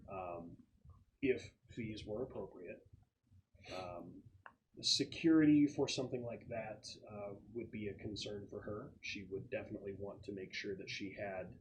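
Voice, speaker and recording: A man narrating a tabletop roleplaying game as game master, explaining things in a halting, thoughtful way with pauses. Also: lowish voice. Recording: clearly noisy room recording with clicks.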